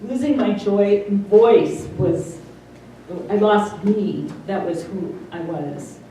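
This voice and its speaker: Slow, halting middle-aged woman, very mellow. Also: medium pitch. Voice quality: careful over-articulated consonants after voice loss. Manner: slow and halting, many pauses. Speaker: interviewee. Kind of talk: personal testimony. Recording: roomy, distant mic, faint hiss.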